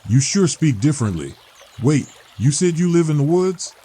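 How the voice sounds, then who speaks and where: A man's voice: fairly high pitch, sing-song, moderate pace, warm tone, husky. A man playing a kindly, protective older man with a folksy, colloquial way of talking in a dramatized audio comic. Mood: calm, concerned. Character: kindly older homeless man, gruff but protective rescuer.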